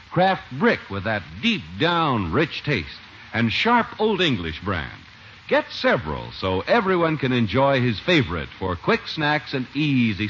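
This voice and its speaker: Very animated old-time American radio announcer man. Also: medium pitch, a little hiss or hum. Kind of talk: 1950s sponsor announcing, scripted. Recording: muffled vintage radio recording.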